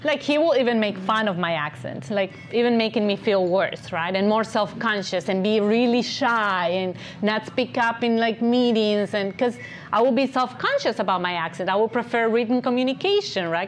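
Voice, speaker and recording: A woman with a foreign accent, the encouraging guest speaker at a business event, chatting to the room over slight background noise. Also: a little high. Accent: non-native English accent. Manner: animated encouragement, often checks in with "right?". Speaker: guest speaker, agency founder and CEO. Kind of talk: conversational motivational business talk.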